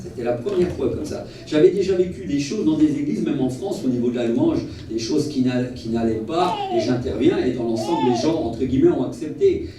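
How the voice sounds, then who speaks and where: A man preaching as a travelling evangelist, telling personal stories in an earnest, animated way and repeating phrases for emphasis. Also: medium pitch, a little fast, hardly any pauses. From Portuguese family origin. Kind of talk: sermon with personal storytelling. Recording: echoey hall, distant mic, faint noise.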